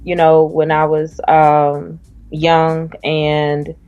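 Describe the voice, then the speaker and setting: A woman's voice: sits low, completely flat delivery, extremely slow, a little mellow, clean tone, no echo, dull audio. A young woman from the South, an author and poet, answering interview questions with a hesitant manner and many fillers. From Southern US (Alabama).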